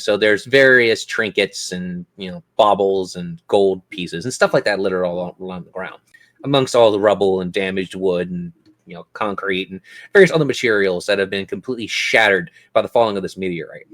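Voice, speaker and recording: Low-voiced man running a tabletop game. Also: brisk, fairly bright tone. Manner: descriptive, prompting players with questions. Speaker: game master. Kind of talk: narrating a tabletop role-playing game.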